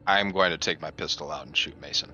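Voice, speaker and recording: A man with a low, very bright and forceful voice talks fast as a player in a tabletop game. The recording is slightly dull. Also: faint music behind. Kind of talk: casual game-table chat.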